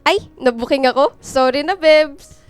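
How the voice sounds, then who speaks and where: A woman playing an affectionate, teasing young college girlfriend in a Filipino radio drama, with an expressive, sweet-talking delivery. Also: sits high, measured pace, no echo. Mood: sheepish, playful. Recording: music bed under the voice. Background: background music.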